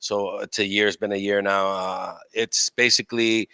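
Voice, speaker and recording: A man in his forties from Chicago chatting casually as an interview guest, slangy and a little halting. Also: lowish voice, steady tone, gravelly, pushed and bright, clean tone, dry recording. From Chicago, born in Puerto Rico. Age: 40s. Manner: informal, slangy, hesitant phrasing. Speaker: guest, event venue owner.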